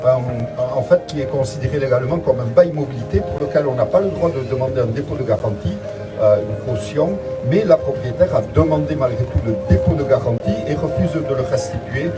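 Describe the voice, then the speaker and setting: A man's voice: medium pitch, brisk, loud music behind the voice, low-quality recording. A man leading a tongue-in-cheek guided tour outdoors, talking in a mock-solemn way and hesitating often. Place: outdoors in front of a museum.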